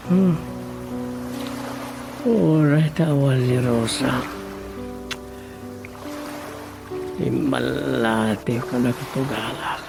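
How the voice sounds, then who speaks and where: A man's voice: medium pitch, creaky. A man acting a grumbling village man in an Ilocano comedy radio drama, muttering and exclaiming to himself.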